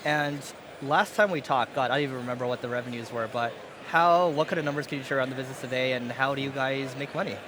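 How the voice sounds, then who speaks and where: Fast-talking podcast host, noisy venue. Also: male voice, mid-range voice. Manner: quick, brisk questions with short acknowledgments. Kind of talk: casual interview questions. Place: conference podcast stage. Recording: noisy event background.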